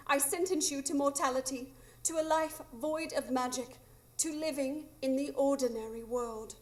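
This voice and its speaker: Actress as young female captain, radio play: high voice, solemn, resolute, commanding. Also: normal speed, lightly acted. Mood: stern command. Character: stern, resolute young woman ship's captain. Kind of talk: live radio drama acting.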